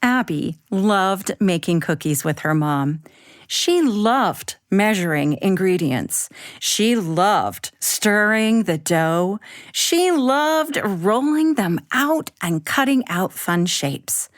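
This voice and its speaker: A woman narrating a scripted children's bedtime story with expressive, deliberate, simple phrasing. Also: mid-range voice, dry recording, clean studio sound.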